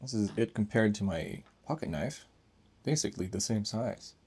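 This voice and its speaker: A man reviews gadgets casually and without a script. His voice sits on the low side with plenty of gravelly fry. He thinks out loud as he goes, in short, broken phrases. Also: animated, moderate pace. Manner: thinking aloud in short fragments. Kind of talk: casual unscripted hands-on review.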